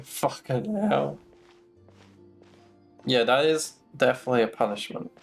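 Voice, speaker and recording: A man's voice: mid-range voice, unhurried, creaky. A man giving casual live gameplay commentary as a streamer, with sarcastic, sweary muttering asides. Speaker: game streamer. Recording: faint game music behind.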